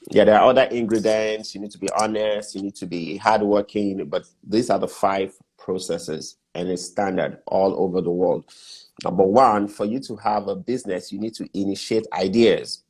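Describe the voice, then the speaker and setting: A middle-aged man with a Nigerian accent and a fairly low voice. He explains things step by step, numbering his points and hammering them home with repetition, in the easy tone of a casual interview. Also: steady tone, moderate pace, darkish tone, husky. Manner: emphatic, lists steps and repeats key points. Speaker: guest business mentor.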